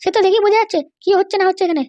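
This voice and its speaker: A woman voicing a sulky young cartoon wife who accuses her in-laws, complaining in a pitched-up voice. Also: rapid-fire, mellow, no room sound. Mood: indignant. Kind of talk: comedy cartoon dialogue.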